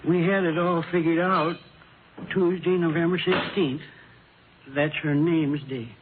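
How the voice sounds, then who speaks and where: A grieving old widower played by a male actor speaks in a fairly high voice, halting and polite, shaken and bewildered. It has the plain folksy manner of vintage radio drama, on a thin, noisy old recording. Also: steady tone, narrow, tinny audio, lightly acted. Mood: polite, subdued. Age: over sixty-five. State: shaken with grief. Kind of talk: 1950s radio drama acting.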